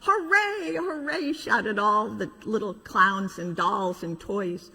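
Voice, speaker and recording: A middle-aged woman, a pastor telling a children's story in an animated way with informal asides. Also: medium pitch, slow, gravelly, breathy edge. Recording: reverberant hall.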